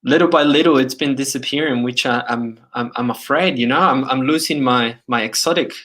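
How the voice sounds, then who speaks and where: A man in his thirties with a Chilean Spanish accent softened toward Australian. He chats loosely on a livestream, full of fillers and repeated starts, at a middling pitch. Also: brisk. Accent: Chilean Spanish, partly Australian. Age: 30s. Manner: rambling, many fillers and restarts. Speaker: livestream host. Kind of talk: casual livestream chat.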